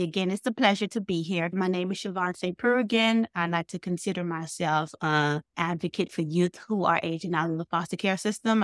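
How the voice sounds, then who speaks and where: Female interview guest from the Bahamas, lowish pitch, personal storytelling, dry sound. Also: no echo. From Bahamas, moved to the United States at seven. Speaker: podcast guest, foster youth advocate.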